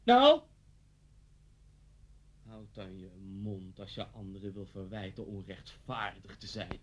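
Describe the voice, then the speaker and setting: A man's voice: on the low side, wildly swooping pitch, slow, a little hiss or hum, exaggerated voice. An actor playing a vengeful, deranged middle-aged man in a radio play, mocking and theatrical as he threatens someone. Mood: accusing, bitter. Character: vengeful, deranged man taunting his old schoolteacher at gunpoint.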